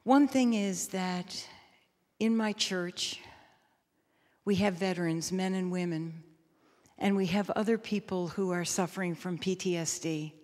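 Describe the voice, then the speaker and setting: A woman pastor giving reflective, sermon-like panel remarks, measured and emphatic, often repeating phrases. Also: on the low side, a little mellow. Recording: echoey hall with long reverb.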